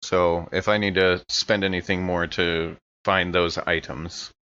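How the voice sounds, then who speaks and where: Male tabletop player, fairly low voice, casual and tentative delivery, slightly muffled sound. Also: level tone, measured pace, pushed and bright. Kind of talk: casual improvised tabletop game talk.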